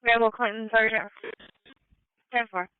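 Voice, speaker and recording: Woman dispatcher, very crisp over phone-like radio. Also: fairly high pitch, fairly even intonation, measured pace. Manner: clipped, procedural. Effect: two-way radio. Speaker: emergency dispatcher. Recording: phone-like police scanner radio audio.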